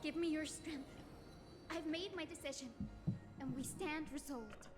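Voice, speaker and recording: Gentle young girl hero, super high voice, earnest n hopeful, game music under it. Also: unhurried. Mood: resolute. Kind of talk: dramatic scripted game dialogue.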